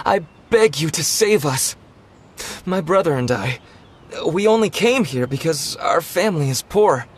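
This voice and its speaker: A man's voice: fairly high pitch, lightly acted. Man playing a desperate pleading young villager. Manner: pleading, fawning, fretful. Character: desperate, humble, poor young male treasure hunter pleading for help. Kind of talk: dramatic fantasy game voice acting.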